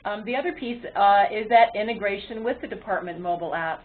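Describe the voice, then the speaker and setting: A woman presenting a webinar as its moderator, explaining to an online audience in a halting delivery with frequent ums and uhs. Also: mid-range voice, slight background noise. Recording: muffled, phone-like webinar audio line.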